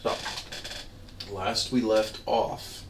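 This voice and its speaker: A man with a hoarse, worn-out voice giving rambling, easygoing commentary as a game streamer. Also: fairly low pitch, little pitch movement, drawn-out words, background noise. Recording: roomy, distant mic under loud music. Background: loud music.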